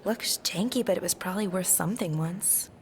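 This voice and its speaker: A woman's voice: fairly low pitch, brisk, lightly acted. An actress playing a cool, practical young American woman in an audio drama, matter-of-fact and slangy. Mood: hushed, wary. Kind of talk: audio drama narration and dialogue.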